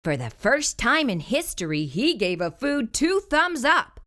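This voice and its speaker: Bright, animated woman reading a children's story. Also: on the high side, a little slow. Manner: animated, theatrical emphasis on exclamations. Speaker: read-aloud storyteller. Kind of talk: expressive children's picture book read-aloud.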